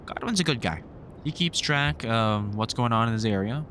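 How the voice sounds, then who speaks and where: A man playing a weary, kindly vampire hunter in an audio roleplay, talking to the listener in a halting, informal way with dry humour. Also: medium pitch, animated, a bit bright, a little hiss or hum, lightly acted. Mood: explaining, casual.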